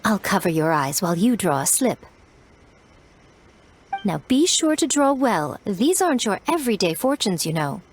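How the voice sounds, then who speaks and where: A woman's voice: medium pitch, big pitch swings, normal speed, a little hiss or hum, natural voice. A woman playing a teasing, self-important shrine head priestess in a video game, with a playful, sweetly condescending manner. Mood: teasing, sly.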